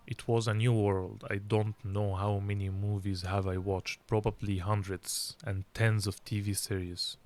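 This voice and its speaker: A young Egyptian man, whose first language is Arabic, speaks clear, careful English with an Egyptian accent. His voice sits a little low, and he talks in a measured, reflective way while sharing his experiences for learners. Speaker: English learning channel host. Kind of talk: personal story for language learners.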